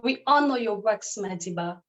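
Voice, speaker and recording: A woman's voice: mid-range voice, lively intonation, normal speed. A woman from Cameroon performing a spoken word poem in a rhythmic, declamatory way, with repeated refrains.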